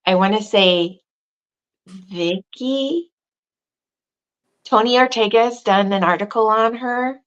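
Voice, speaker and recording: A woman in casual conversation, thinking aloud with restarts and asides, who says she has a loud voice. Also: medium pitch, slow pace, pauses often, dull audio. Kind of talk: casual chat.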